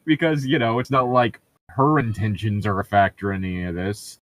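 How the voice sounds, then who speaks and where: A man's voice: on the low side, fairly even intonation, normal speed, fairly bright tone, no room sound. A man co-hosting a read-along show, switching between reading passages aloud and casual, sarcastic, sweary commentary. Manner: sarcastic, profane.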